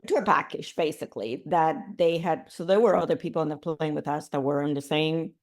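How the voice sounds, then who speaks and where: Woman, from Cuba, middle-aged, podcast guest, low voice, animated storytelling. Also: fairly quick. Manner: animated, with restarts and laughs. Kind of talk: conversational life story.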